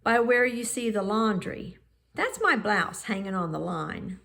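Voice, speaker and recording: Storybook neighbor girl, voiced by a woman reader: friendly, bold, folksy, unhurried. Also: medium pitch, vocal fry, a bit bright. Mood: friendly, open. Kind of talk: storybook character dialogue in read-aloud.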